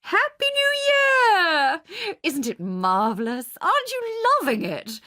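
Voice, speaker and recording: A woman playing an excitable party guest in a radio drama, effusive and shrill. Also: slow, full character voice. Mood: shrieking glee. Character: gushing, excitable party guests screaming good wishes.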